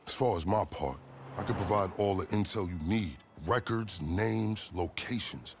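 A man playing a calculating police officer in a video game cutscene, speaking deliberately in formal, wordy phrases with some swearing. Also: low voice, a little hiss or hum. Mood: scheming, resolute. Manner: deliberate, verbose, formal vocabulary mixed with swearing. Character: smooth, calculating rookie cop with a grudge against corruption.

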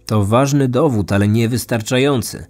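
A man's voice: mid-range voice, moderate pace, a little mellow. A man narrating a scripted true crime story as a podcast host.

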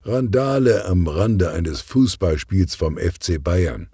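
A man acts out a frustrated policeman dad in a storybook. He is sardonic and mocking, with a deep voice that swings expressively. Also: lively intonation, takes it slowly, warm tone, slightly rough, dry recording, studio quality, full character voice. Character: frustrated, mocking adult male policeman, family father.

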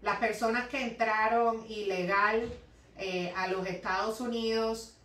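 A woman's voice: a little high, steady tone, unhurried, a bit bright. Woman, 30s, Cuban accent, explains and reads questions aloud; echoey room, sitting back from the webcam. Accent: Cuban Spanish. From Cuba. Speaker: immigration lawyer. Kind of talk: live Q&A, answering viewer questions. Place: law office, indoors. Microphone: webcam at desk distance. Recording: echoey office, voice a bit far from mic.

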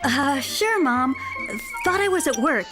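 Perky animated spy mascot, high and bouncy, selling confidently over loud music. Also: female voice, slow. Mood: businesslike. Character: perky confident young female secret agent mascot. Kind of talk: animated commercial acting.

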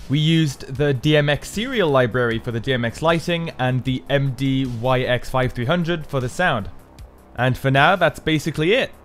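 A man presenting his own build in a scripted how-to narration. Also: medium pitch.

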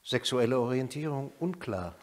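An actor playing a cold, bureaucratic Nazi-era evaluator who reads out a clinical assessment in a detached manner for a radio drama. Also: male voice, mid-range voice, lively intonation, moderate pace, close to an everyday voice. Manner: detached, bureaucratic, reading a report.

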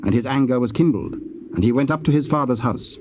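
Male narrator, medium pitch, solemn measured scripture reading, dull noisy cassette. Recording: muffled, noisy old cassette transfer.

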